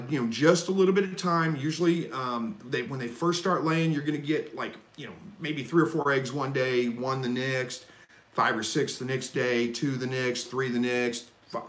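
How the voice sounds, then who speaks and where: A man hosting a live stream, reading viewer questions and answering in a relaxed, folksy, rambling way. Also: fairly high pitch, reverberant. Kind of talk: casual live chat Q&A.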